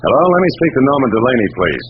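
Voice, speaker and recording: A man's voice: mid-range voice, very animated, racing, close to an everyday voice. A man playing a hard-boiled private detective, dry, terse and sardonic, in old radio noir style. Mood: probing, cool. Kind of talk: 1940s-50s radio noir acting and narration. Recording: muffled, noisy old radio recording.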